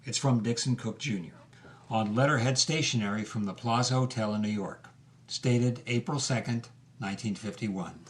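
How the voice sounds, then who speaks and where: A man's voice: medium pitch, normal speed. A man giving scripted storytelling narration as the narrator of a drama.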